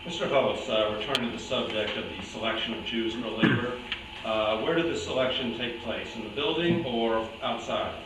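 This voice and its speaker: A man's voice: medium pitch, normal speed, music in the background, lightly acted. A man playing a persistent, skeptical interrogator in a staged reading, asking pointed questions. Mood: probing. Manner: probing, pointed. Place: indoor room at a live staged reading, distant mic. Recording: very echoey, noisy room, distant mic.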